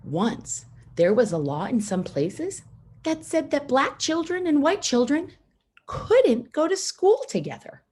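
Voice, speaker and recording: A woman reading a children's picture book aloud, phrasing it simply and deliberately with pauses for young listeners. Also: high voice, sing-song, a little mellow. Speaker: storybook reader for schoolchildren.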